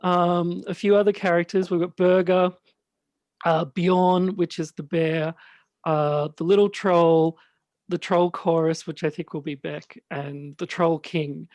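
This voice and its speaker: Casting: male podcast host with a light, high-pitched voice, slow and halting chat, recorded dry. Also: level tone, darkish tone, dry recording, lo-fi sound. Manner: hesitant, halting delivery. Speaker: host and radio play director.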